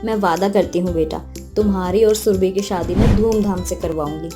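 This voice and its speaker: A woman's voice: mid-range voice, fairly quick, over music, lightly acted. A woman voicing a protective, loving mother in a moral story, firm and emphatic in manner. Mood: pleased. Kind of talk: animated moral-story dialogue.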